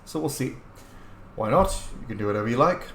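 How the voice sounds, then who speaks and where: A man's voice: medium pitch, lively intonation, brisk, a crowd in the background. Man doing casual commentary in a roomy space. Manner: informal, sweary, reflective. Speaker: let's play host. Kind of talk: casual roleplay game commentary. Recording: roomy echo, faint background noise.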